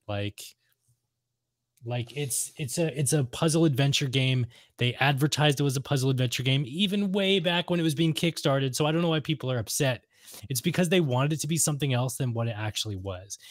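A man's voice: mid-range voice, no echo. Man chatting casually, close and dry. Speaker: podcast co-host. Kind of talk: casual chat about video games.

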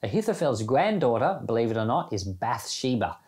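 A man's voice: mid-range voice, big pitch swings, normal speed, a little mellow. A man hosting a church Bible podcast, reading scripture and giving informal devotional commentary.

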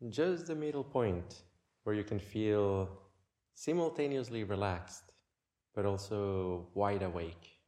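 Slow, low-voiced man guiding meditation. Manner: gentle, unhurried, with long pauses. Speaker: meditation teacher. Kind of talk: guided meditation.

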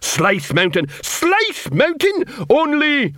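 A man voicing a big, slow-witted troll drill sergeant in an audiobook, blustering haltingly in broken grammar. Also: a little high, big pitch swings, fairly bright tone, no echo, studio quality, pitched above the performer's own voice, full character voice. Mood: loud, bossy. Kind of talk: audiobook character voice.

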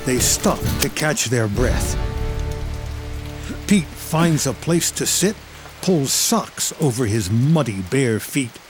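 A man's voice: medium pitch, expressive, slow pace, over music. A man reading scripted screenplay narration as the narrator of a drama.

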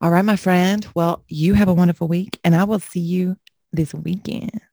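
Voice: mid-range voice, fairly even intonation, normal speed, warm tone, no room sound, clean studio sound. A young adult podcast co-host telling stories in casual, profane chat, laughing often. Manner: profane, laughing, slangy storytelling.

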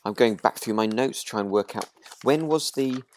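A podcast co-host chatting in a relaxed, rambling way about a radio drama, often breaking off and rephrasing. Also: mid-range voice, normal speed, a little hiss or hum.

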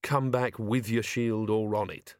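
Man voicing a dry, deadpan watchwoman. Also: medium pitch, moderate pace, no echo, natural voice. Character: dry, terse female watch officer.

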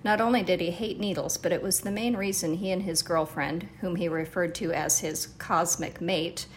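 A woman's voice: fairly low pitch, fairly even intonation, moderate pace, a little hiss or hum. A woman podcast host reading a true-story book excerpt aloud as scripted narration.

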